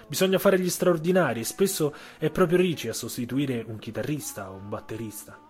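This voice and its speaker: A man with a slightly husky voice narrating a literary audio story for radio, measured, with dramatic pauses and emphasis. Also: mid-range voice, darkish tone, faint music behind.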